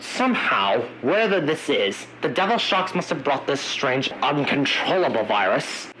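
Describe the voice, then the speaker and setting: A man narrating an analog horror emergency briefing in a halting, wordy way, searching for his words. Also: mid-range voice, big pitch swings, bright, forceful tone, a little hiss or hum, low-quality recording.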